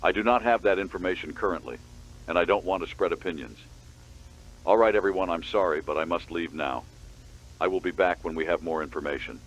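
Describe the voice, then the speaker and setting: Man as deep-voiced official press spokesman. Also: brisk, highs cut off. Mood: apologetic. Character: apologetic, guarded male government press spokesman. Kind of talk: press briefing.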